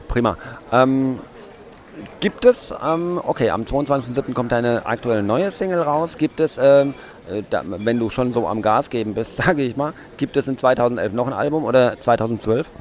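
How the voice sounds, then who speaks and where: Guy interviewer, mid-pitch voice, lots of ähm while asking stuff, outside. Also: animated, moderate pace, a little mellow. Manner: hesitant, many fillers. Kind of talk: casual interview questions.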